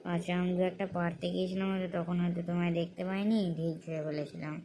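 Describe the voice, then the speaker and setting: A woman's voice: lowish voice, little pitch movement, rapid-fire, lightly acted. A woman voicing a cheeky, sly young village boy in an amateur comic cartoon, wheedling and mock-innocent. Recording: faintly noisy amateur recording.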